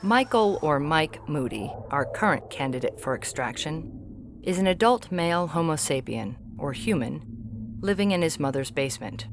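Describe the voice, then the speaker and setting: A woman playing a formal alien agent in audio fiction, reporting on humans in stiff, clinical, precise phrasing with a faintly weary, homesick temper. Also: low-pitched. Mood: formal, official. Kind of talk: scripted audio fiction reading.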